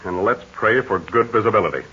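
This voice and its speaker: Steady heroic pilot, old radio. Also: male voice, lowish voice, fairly quick, husky. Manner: patient, explaining, commanding. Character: calm, steady adult male hero pilot. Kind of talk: 1940s radio acting. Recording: dull, hissy vintage radio recording.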